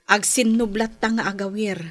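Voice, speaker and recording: A woman's voice: medium pitch, flat delivery, moderate pace, lightly acted. An actress playing a patient but firm, long-suffering wife and mother in an Ilocano radio drama, insistent and pleading.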